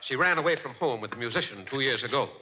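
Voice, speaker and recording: A man's voice: mid-range voice, animated, quick pace, lightly acted. An actor playing a hesitant, uneasy well-to-do man in a 1940s radio drama, on a muffled old recording with faint hiss. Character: nervous, well-to-do man from the city.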